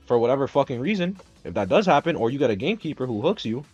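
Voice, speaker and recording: A man's voice: mid-range voice, rapid-fire, breathy edge. A man hosting a gaming stream, arguing tier picks in a casual, profane, heated way. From Dominican Republic. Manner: animated, profane, argumentative ranting. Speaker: streamer host. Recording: slightly dull stream audio with music.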